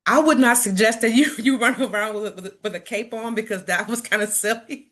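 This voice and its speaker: A woman's voice: a little high, fairly quick, a bit bright. A middle-aged woman from Chicago, a motivational speaker who stutters and repeats words, talking earnestly and encouragingly to an audience. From Chicago, United States. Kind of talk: personal talk to an audience.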